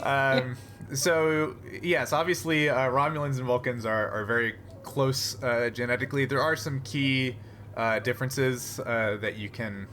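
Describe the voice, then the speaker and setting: A man's voice: medium pitch, steady tone, a little slow, a bit bright, slight background noise. A man acting as game master for a tabletop sci-fi game, explaining things casually and a bit hesitantly.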